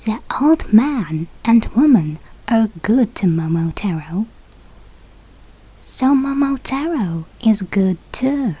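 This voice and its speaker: Very slow, breathy non-native woman reading aloud. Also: fairly high pitch, animated, dark tone, low-quality recording. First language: not English. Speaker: storybook reader for English learners. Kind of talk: slow read-aloud of a children's fairy tale.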